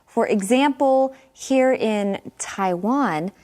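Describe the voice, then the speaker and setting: Woman co-host, English lesson, slow measured delivery. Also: a little high, animated, darkish tone. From native English speaker. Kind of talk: scripted teaching chat.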